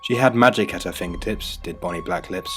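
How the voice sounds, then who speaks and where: A man narrating a rhyming children's story in a measured, scripted storybook delivery. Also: low voice. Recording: music bed under the voice. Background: music.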